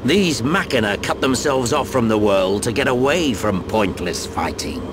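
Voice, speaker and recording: An actor playing a wry, roguish middle-aged soldier in a video game, with a British accent and a casual sardonic drawl. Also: male voice, medium pitch, expressive, moderate pace, noisy background, natural voice.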